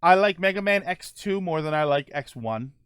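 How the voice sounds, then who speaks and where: A man with a fairly high voice hosts a relaxed live chat. His intonation swings widely as he rambles and jokes through answers to viewers. The sound is dry, with very little room in it. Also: moderate pace, clean tone, no room sound. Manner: rambling, joking, animated. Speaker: podcast host answering viewer questions. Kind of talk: casual live stream chat.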